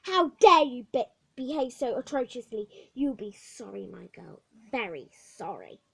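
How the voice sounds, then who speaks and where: A woman's voice: high voice, normal speed, darkish tone, close to an everyday voice. A woman voicing a stern, scornful orphanage matron who barks orders in a story reading. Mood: scolding. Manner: scolding, commanding.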